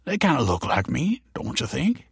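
A man voicing a whiny, hapless, scrawny deadbeat foster father who moans and mumbles defensive excuses in a strained, husky voice. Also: a little high, very animated, a little slow, dry recording, clean studio sound, pitched above the performer's own voice, exaggerated voice.

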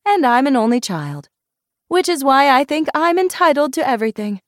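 A woman's voice: high voice, very animated, normal speed, fairly bright tone, no room sound, clean studio sound, voice pushed up. A woman voicing an outrageous, blunt, bubbly woman doctor in a novel, playful and emphatic. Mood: brash. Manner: playful, emphatic, mock-dramatic.

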